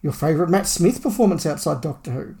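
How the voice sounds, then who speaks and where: Podcast co-host, male, noticeable vocal fry, measured and conversational, informal fan talk. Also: on the high side, expressive, warm tone. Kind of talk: casual fan podcast chat, reading listener email.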